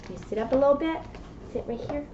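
A woman's voice: high voice, lively intonation, fast talker. A woman in her thirties reading and explaining to a small child in simple language, often checking in with questions. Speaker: mother and children's read-aloud presenter. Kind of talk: reading a picture book aloud and chatting to a small child. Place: small classroom, indoors. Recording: muffled, echoey webcam audio with noise.

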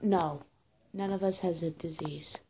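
Slow-talking young woman improvising a roleplay. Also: lowish voice, fairly even intonation, mellow, a little hiss or hum. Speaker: amateur roleplay video creator. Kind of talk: improvised one-sided roleplay acting. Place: small plain indoor room. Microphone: phone or webcam at arm's length.

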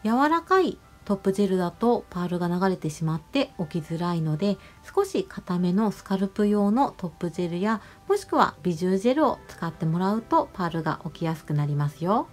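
A woman nail artist narrating a tutorial voice-over, explaining each step politely and giving gentle advice. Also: fairly low pitch, sing-song, fast, few pauses. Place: voice-over over close-up salon footage. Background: music.

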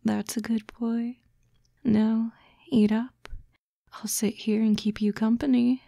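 A doting, protective fox-girl girlfriend, played by a woman, coaxes and gently teases in an intimate ASMR roleplay. Her voice is soft and breathy, with a gentle, even melody and a touch of creak. She speaks very slowly, close to the mic. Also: on the high side, dry recording, exaggerated voice. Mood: tender, concerned. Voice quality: soft, breathy, often whispering. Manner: slow, coaxing, cooing. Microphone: close, dry microphone.

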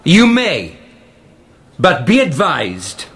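A man's voice: on the high side, wildly swooping pitch, extremely slow, fairly bright tone, slightly rough, full character voice. An actor playing a stern, pompous male prosecutor in a radio drama. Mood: affronted. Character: stern, pompous crown prosecutor, easily affronted. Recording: faint courtroom noise behind.

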